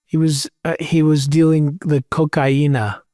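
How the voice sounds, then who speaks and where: A man's voice: a little high, flat delivery, a little slow, mellow, no room sound, clean studio sound. A man in his thirties, a podcast co-host, joking and explaining things offhand in casual chat.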